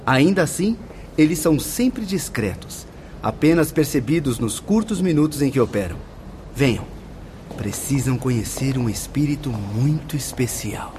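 A man's voice: medium pitch, expressive, normal speed, a little mellow, slight background noise, lightly acted. An actor playing a polite, instructive male spirit guide in a radio play. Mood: explaining.

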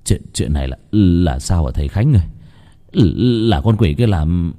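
A man's voice: deep voice, expressive, a little slow, warm tone, pitched below the performer's own voice. A man voicing a worried older male village chief who stammers his questions.

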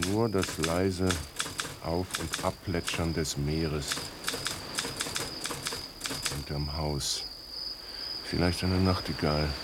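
An actor playing a wry, musing writer who narrates his story in a children's radio play, in a gravelly, husky voice. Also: very deep voice, very noisy. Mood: hushed, poetic.

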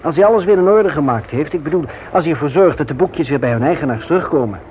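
An actor playing a mild, evasive man in a Dutch radio play, hesitant and measured in his speech. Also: on the high side, lively intonation, phone-quality sound, lightly acted. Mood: concerned. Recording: noisy old radio play recording.

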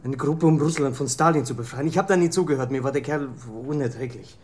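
A man's voice: on the high side, fast talker, darkish tone, close to an everyday voice. An actor playing a wary, anxious man under police questioning in a radio play, guarded and hesitant. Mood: subdued. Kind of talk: German radio play acting.

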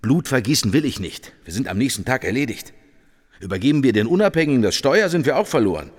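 An actor playing a wary, irritated head of government in a radio drama, insistent and sardonic. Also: male voice, medium pitch, very animated, quick pace. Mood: wary, resolute. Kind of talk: German radio drama acting. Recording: long reverb, music underneath.

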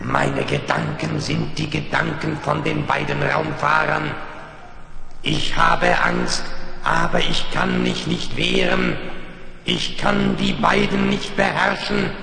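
Gravelly, reverberating alien plasma creature. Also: male voice, on the high side, level tone, noisy background, full character voice. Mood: fearful, ominous. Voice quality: gravelly, creaking. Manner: slow, ominous declarations. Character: menacing, fearful alien plasma mass with captured human minds. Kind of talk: 1970s German radio play. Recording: long cavernous reverb over music.

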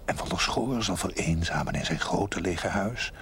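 An actor playing a stern inner voice that reproaches a village chaplain in a deliberate, theatrical television drama monologue. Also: male voice, deep voice, animated, a bit bright, slight background noise. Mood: reproachful, ominous.

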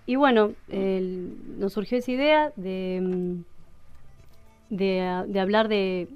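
A woman's voice: mid-range voice, takes it slowly, clear, resonant tone, muffled recording, soft background music. A woman with an Argentine accent, a radio drama workshop member, explaining hesitantly on a radio show. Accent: Argentine Spanish. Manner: hesitant, giggly. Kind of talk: radio interview.

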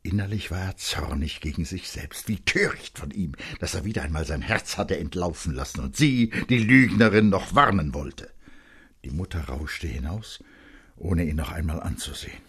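A man reads literary narration in a fairly low, husky voice. His intonation moves widely, giving the scripted prose a dramatic, expressive shape. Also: normal speed.

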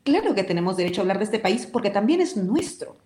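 A woman's voice: medium pitch, sing-song, quick pace, warm tone. Passionate Peruvian woman, roomy sound. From Peru. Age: adult with a decade living abroad. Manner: vehement, passionate, speaks her opinions firmly. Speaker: interview guest, talk show host and commentator. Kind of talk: radio interview. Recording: roomy echo.

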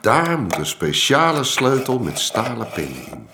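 A man's voice: medium pitch, huge pitch swings, unhurried, noisy background. An actor playing a man patiently showing a child how a lock works in a radio drama. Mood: instructive. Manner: instructive. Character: man teaching a child about locks.

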